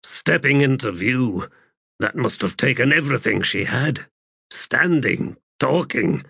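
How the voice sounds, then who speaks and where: A man voicing an overwhelmed, halting soldier healer in an audiobook. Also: mid-range voice, expressive, creaky, pushed and bright, husky, no echo, clean studio sound, voice pushed up, full character voice. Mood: anguished. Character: weeping, shaken male soldier healer.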